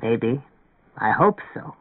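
A calm grown man in an audiobook, as a narrator acts him out, dropped to a low, unhurried register. He is matter-of-fact and gently reassuring, heard close and dry through a narrow, radio-like band. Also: no echo, exaggerated voice. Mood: polite, reserved. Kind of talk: audiobook character dialogue.

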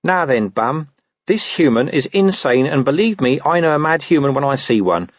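A man's voice: on the high side, animated, moderate pace, no room sound, voice pushed up. A man voicing a cynical, scruffy talking street dog who grumbles and wheedles. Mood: menacing. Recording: dull dry recording.

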